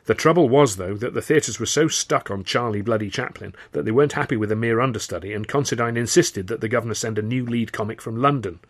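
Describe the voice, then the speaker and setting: A man's voice: mid-range voice, quick pace, few pauses, close to an everyday voice. A man playing an eager, flustered music-hall comedian who pleads and persuades in an audiobook. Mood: explaining. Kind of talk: audiobook character dialogue.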